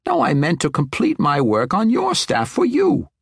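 A man doing an excitable, rambling old wizard, raised pitch. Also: normal speed, creaky, slightly rough, dry recording, clean studio sound, heavily acted. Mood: eager, insistent. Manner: animated, rambling, exclamatory. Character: eccentric, excitable, kindly old male wizard.

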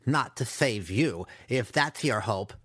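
A man voicing a cruel, resentful young male dark elf apprentice with a sarcastic, sneering delivery in a fantasy audiobook. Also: medium pitch, animated, takes it slowly, husky, no echo, studio quality, exaggerated voice. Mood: smug sarcasm. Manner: sneering, mocking.

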